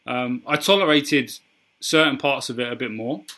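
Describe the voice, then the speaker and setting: A man co-hosting a casual podcast conversation. His voice is bright and at a middling pitch. He talks in a rambling way, with frequent ums and self-corrections. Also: normal speed. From England. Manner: chatty, hesitant with frequent ums and restarts. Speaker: podcast co-host. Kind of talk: casual film discussion.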